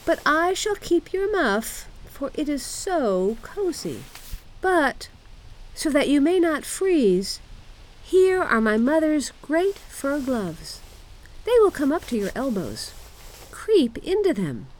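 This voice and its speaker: A bossy little robber girl from a storybook, voiced by a woman. She speaks high and emphatically, with lively swings of pitch and a wilful, imperious manner. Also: slow, slight background noise, full character voice, low-quality recording.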